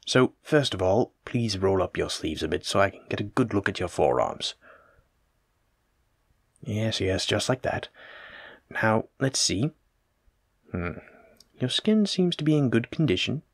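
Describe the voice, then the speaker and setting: A man's voice: lowish voice, lively intonation, measured pace, long pauses, no echo, clean studio sound. A man playing a courteous, learned plague doctor in a roleplay audio drama, speaking formally and reassuringly as he attends to a patient. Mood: gently attentive. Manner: formal, polite, reassuring, wordy. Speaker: physician examining a patient. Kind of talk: soft-spoken roleplay audio drama, addressing the listener.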